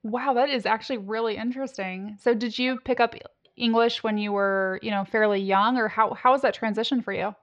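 A middle-aged woman hosting a podcast, chatting in a relaxed way and asking open questions. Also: fairly high pitch, a little fast, dry recording, lo-fi sound. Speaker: podcast host. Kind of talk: conversational interview.

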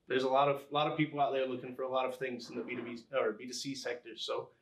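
A man answering interview questions as a podcast guest, explaining things conversationally and haltingly as he pieces thoughts together. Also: medium pitch, flat delivery, a little hiss or hum. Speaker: podcast guest, engineering executive. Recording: roomy, echoing sound.

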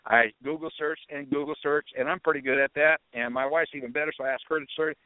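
A man's voice: fairly high pitch, steady tone, fast talker, no echo. Rambling male podcast host, tinny. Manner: rambling, conversational storytelling. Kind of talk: casual monologue. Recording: tinny phone-like dry audio.

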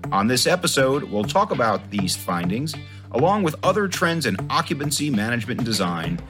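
A male podcast host with a polished, expressive delivery and a pitch that moves a lot as he speaks. Clear background music plays under his voice. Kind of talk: scripted intro, then interview questions.

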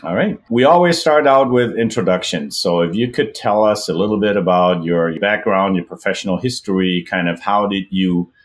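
Low-voiced male podcast host. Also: moderate pace, few pauses. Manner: relaxed, thinks aloud at length. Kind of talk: podcast interview hosting.

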